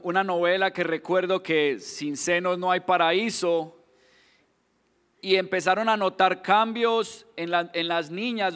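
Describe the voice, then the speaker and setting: A man's voice: high voice, fairly bright tone, long reverb tail. A man in his thirties with a Colombian accent, preaching a sermon earnestly, often restarting words and building to emphatic questions.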